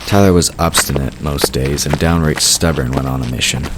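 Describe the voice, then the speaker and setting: A man with an extremely low voice delivers scripted narration for a fantasy audio drama. Ambient sound effects run clearly behind his voice. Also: normal speed. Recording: clearly noisy background ambience. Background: outdoor ambience sound effects.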